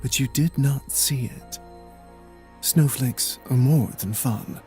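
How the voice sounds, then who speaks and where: A man's voice: medium pitch, takes it slowly, darkish tone, soft background music, close to an everyday voice. A man playing an aloof, solitary lord of an icy castle in an audio drama, speaking in a curt, formal and exacting way. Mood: cold, dismissive.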